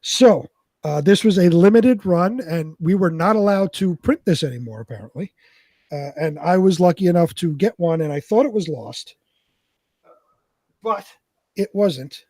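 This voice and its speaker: Higher-pitched man in casual chat. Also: expressive, moderate pace, mellow, no echo. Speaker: show co-host.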